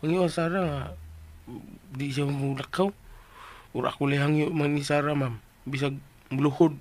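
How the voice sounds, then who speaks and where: An actor in a Visayan Filipino radio drama playing an excitable, clumsy household helper in a whiny, exclaiming comic manner. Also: mid-range voice, normal speed, lightly acted. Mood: meek, pleading.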